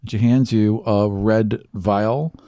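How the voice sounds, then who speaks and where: A man's voice: fairly low pitch, takes it slowly, dry recording. A man narrating casually as game master of a tabletop game, playful and given to acting out little bits. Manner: animated, playful, acts out sounds and bits.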